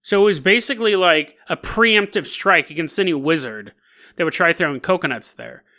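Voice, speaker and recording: A man hosting a solo podcast, telling stories in a casual, jokey, animated way. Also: fairly high pitch, moderate pace. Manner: animated, jokey, laughs at own asides. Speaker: podcast host. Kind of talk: casual comedic storytelling, solo podcast narration.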